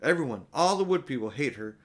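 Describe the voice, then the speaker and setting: A man's voice: mid-range voice, sing-song, a little fast. A man voicing an earnest, kindhearted, insistent little girl in a storybook. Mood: indignant. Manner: insistent, pleading.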